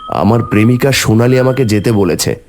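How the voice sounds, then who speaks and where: A man's voice: fairly low pitch, moderate pace, a little mellow, a little hiss or hum, close to an everyday voice. A man playing an earnest, brave young hero who tells and acts out a horror cartoon story. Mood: curious, earnest. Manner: dramatic, earnest first-person storytelling. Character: brave, earnest young man seeking his dead lover. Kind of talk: horror cartoon narration and dialogue. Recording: music behind the voice.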